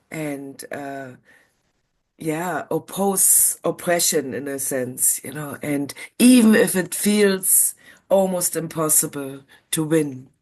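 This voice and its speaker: A woman in an audience giving earnest feedback in a measured, emphatic way. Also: low voice, darkish tone. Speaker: audience member.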